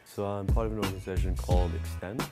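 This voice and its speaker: A man being interviewed, explaining his work clearly. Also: sits low, fairly even intonation, fast talker. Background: music bed.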